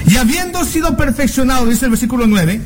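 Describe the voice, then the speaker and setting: A man's voice: very high-pitched, animated, fast talker, a little hiss or hum. A man from Mexico preaching a sermon, earnest, asking rhetorical questions and drawing out key words for emphasis.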